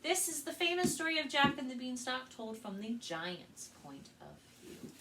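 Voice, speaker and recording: An American woman working as a children's librarian, telling stories and presenting to kids in an expressive, carefully spoken way. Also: high-pitched, normal speed. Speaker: children's librarian and storytime host. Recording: distant mic with faint background noise.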